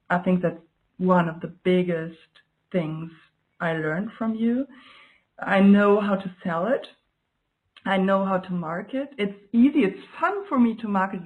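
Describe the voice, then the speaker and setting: A woman's voice: medium pitch, phone-quality sound. A woman from Germany, a native German speaker with a German accent, speaks as an interview guest in a reflective, casual way, often searching for her words. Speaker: guest, client giving a testimonial.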